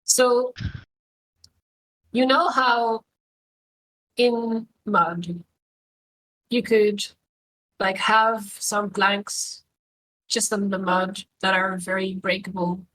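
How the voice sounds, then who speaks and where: A woman casually describing a plan as a tabletop player, pausing in mid-phrase. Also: mid-range voice, steady tone, a bit bright, rough recording. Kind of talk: casual game chat.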